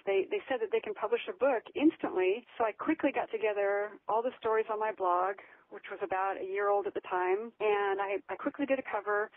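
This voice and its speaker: A woman's voice: medium pitch, no echo. An American woman, a blogger and author, telling her story in a casual interview, enthusiastic and full of "you know"s. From United States. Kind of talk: casual interview over the phone. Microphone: telephone. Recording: tinny phone line, dry.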